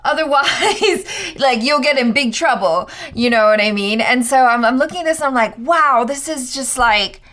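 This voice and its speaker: Animated young woman in casual chat. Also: high-pitched, moderate pace, few pauses. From half Thai. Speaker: film trailer reaction co-host.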